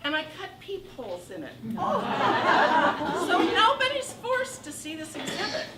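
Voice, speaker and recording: A woman in her sixties from Northern California telling a wry, animated comic monologue on a theater stage. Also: very high-pitched, unhurried, a loud crowd. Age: 60s. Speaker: solo performer. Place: theater stage with live audience. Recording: echoey room from far off, noisy.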